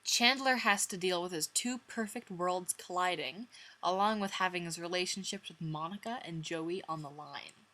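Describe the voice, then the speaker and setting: A woman's voice: lowish voice, moderate pace, bright, forceful tone. A woman narrating her own fanfiction as a dramatic reading, dropping in wry, self-mocking asides.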